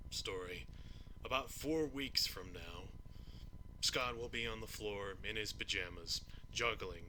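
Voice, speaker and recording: A man acts out an elderly, kindly grandfather reading a bedtime story. He speaks very slowly in a low voice, muttering and doddering as he goes. A faint fan noise runs behind him. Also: low-quality recording. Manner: halting, muttering asides, slow storyteller. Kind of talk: bedtime story roleplay narration. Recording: steady ceiling fan noise. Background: ceiling fan.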